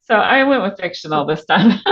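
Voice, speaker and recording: A woman speaking as an author guest in a casual interview, informal and a little hesitant as she thinks aloud. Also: mid-range voice. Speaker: author guest on a book podcast. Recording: muffled, band-limited audio.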